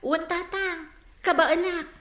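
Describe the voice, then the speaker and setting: An actress playing a caring young farm daughter in a radio drama, earnest and reassuring, with an Ilocano accent. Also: female voice, extremely high pitch, fairly even intonation, measured pace, a bit bright, roomy sound.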